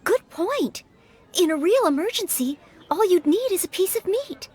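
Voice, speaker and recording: A woman's voice: extremely high pitch, sing-song, moderate pace, darkish tone. An actress playing an earnest, polite young maid-knight woman in an anime-style video game, careful and sincere. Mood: thoughtful.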